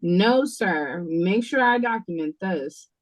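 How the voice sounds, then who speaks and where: A woman's voice: on the low side, lively intonation, slow, voice pushed down, rough recording. A woman playing a bossy, impatient, sarcastic waitress in an audio play read-through over a video call. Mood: busy, annoyed.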